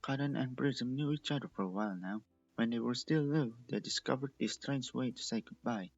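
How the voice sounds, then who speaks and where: A man's voice: medium pitch, fairly quick, muffled recording, soft background music. A man reading a story aloud as the narrator. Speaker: fan fiction reader and narrator.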